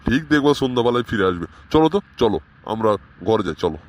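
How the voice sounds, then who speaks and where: A man's voice: medium pitch, lively intonation, quick pace, close to an everyday voice. A man voicing an irate, blustering, grumbling village father in a comedy cartoon. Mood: mocking, grumbling.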